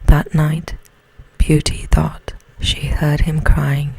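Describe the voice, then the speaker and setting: Woman, hushed soothing storyteller, breathy ASMR whisper, mellow, dry and close-miked. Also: low voice, flat delivery, dry recording. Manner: slow, hushed, soothing delivery. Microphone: close mic.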